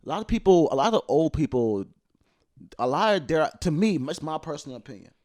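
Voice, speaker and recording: A man, hosting a casual podcast chat with loud, joking, profane banter. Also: very animated, fairly quick. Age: 30s. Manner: loud, joking, swearing banter with sudden jumps in pitch. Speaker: podcast host.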